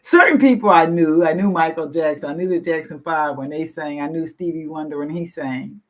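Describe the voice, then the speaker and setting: A woman in her sixties with a deep, low voice, giving a rambling, frank personal share at a support meeting and swearing casually. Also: brisk. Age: 60s. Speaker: meeting participant sharing.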